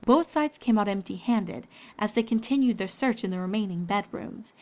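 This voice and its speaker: A woman's voice: mid-range voice, moderate pace, a little mellow. A woman reading scripted story narration as the narrator of a fan fiction.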